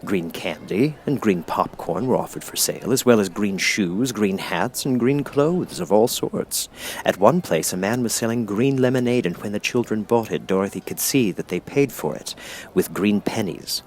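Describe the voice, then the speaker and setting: A man reading scripted storybook narration as an audiobook narrator, in measured, clear phrases. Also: fairly low pitch, expressive, a little mellow, slight background noise.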